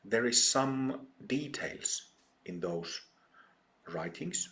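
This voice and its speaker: A middle-aged man with a Finnish accent, a meditation teacher giving a talk at a retreat, speaking haltingly with many pauses and fillers. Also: mid-range voice, very animated, creaky, fairly bright tone. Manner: slow, hesitant, many ums and pauses.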